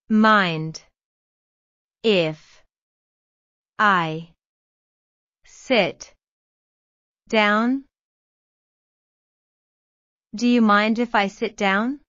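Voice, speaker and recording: Very slow, careful woman reading English drills. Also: medium pitch, a bit bright, no echo. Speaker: English pronunciation model. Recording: dull, slightly lo-fi sound.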